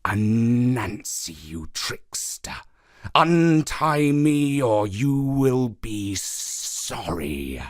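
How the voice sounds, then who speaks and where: A man voicing a proud, menacing long python in a children's folktale, with a drawn-out, hissing, whispery delivery. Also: medium pitch, big pitch swings, no room sound, studio quality.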